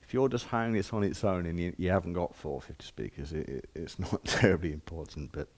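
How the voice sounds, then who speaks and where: A man's voice: low-pitched, fairly quick. A man giving an informal hands-on demonstration as a shop presenter, explaining step by step in plain, casual words.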